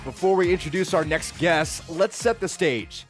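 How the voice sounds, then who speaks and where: A woman playing a matter-of-fact wrestling show co-host in a video game cutscene, speaking in a measured presenter's manner. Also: on the low side, lively intonation, loud music behind the voice, lightly acted. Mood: presenterly.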